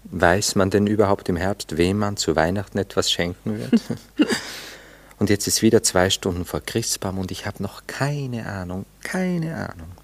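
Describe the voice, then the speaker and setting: Deep-voiced, gallant Viennese idler. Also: male voice, moderate pace. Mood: sheepish, playful. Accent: Austrian, Viennese. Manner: gallant, teasing, languidly ironic. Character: idle, flirtatious Viennese gentleman bachelor, charming and self-pitying. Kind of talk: German radio drama dialogue.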